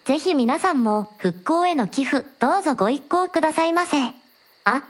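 女性が演じる高い声の丁寧な若い王女. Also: female voice, sits high, lively intonation, normal speed. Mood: cheerful, formal, then delighted. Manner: very polite, formal honorific speech. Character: polite, supportive young princess hosting a livestream. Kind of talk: radio drama dialogue.

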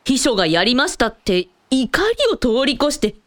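Actress as a brusque, animated, hot-tempered woman. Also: sits high, normal speed. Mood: indignant, sardonic. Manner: blunt, mannish, sardonic phrasing. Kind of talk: comic radio drama acting.

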